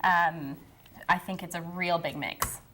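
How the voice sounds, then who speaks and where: Lowish-voiced Canadian woman in casual chat. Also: steady tone, measured pace, pushed and bright. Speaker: talk show panelist.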